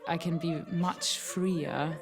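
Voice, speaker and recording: A woman singer from Austria, reflecting in a lively way in an interview clip. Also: on the low side, slow pace. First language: German. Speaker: singer, competition finalist. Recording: loud music under voice.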